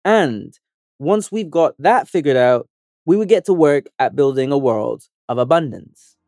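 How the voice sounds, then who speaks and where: A young adult man from Sudan, an organizer and activist, reading a scripted first-person essay in an earnest, measured, persuasive way. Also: medium pitch, lively intonation, a little mellow, no room sound, studio quality.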